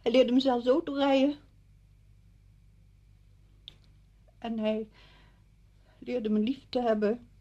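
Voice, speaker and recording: An actress playing a bitter, stubborn, grieving widow in a radio play, brooding and cutting. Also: female voice, a little high, steady tone, a little slow, dark tone, no echo, lo-fi sound. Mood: wistful. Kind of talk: Dutch radio play acting.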